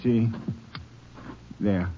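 A man's voice: fairly low pitch, very slow, over music. An actor playing a respectful Mexican helper to a lawman, with a Mexican accent and simple broken English, in an old radio western. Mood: respectful, alert. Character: deferential, helpful Mexican deputy tracker with a flashlight. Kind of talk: 1940s-50s radio western acting. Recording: muffled, noisy, crackly old radio recording.

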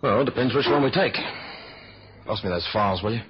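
Man as careful British criminal planner, very low voice, measured and reluctant, muffled old radio recording. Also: animated, close to an everyday voice. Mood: measured, calculating. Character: cautious, reluctant male kidnapper, methodical planner. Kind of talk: 1970s radio drama acting.

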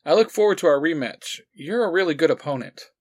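Male reader as an eager, awkward teenage boy, lifts higher when excited. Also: brisk.

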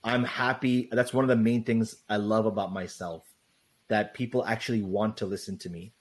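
A young man guest in a casual interview, talking loosely with lots of "like" and "right?", with a loud voice. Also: on the low side, steady tone, normal speed, husky. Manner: loose, rambling, heavy on "like" and "right?".

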